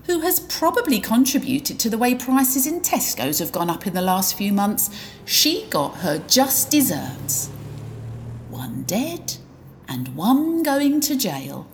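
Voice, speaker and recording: A slow, prim, self-satisfied killer, played by a woman who savours each sentence. Her pitch rises and falls a lot while she gloats. She sounds righteous and smug. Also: a little hiss or hum. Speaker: narrator. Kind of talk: amateur audio drama acting.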